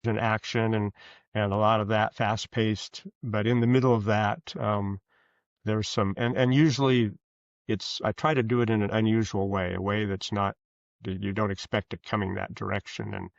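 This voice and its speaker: A man with a low, gravelly voice talks as a guest in an interview. He feels his way through sentences, pausing and restarting. The sound is close and dry but slightly dull. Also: fairly even intonation, no echo. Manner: hesitant, halting, self-correcting. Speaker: guest author. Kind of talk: conversational interview answers.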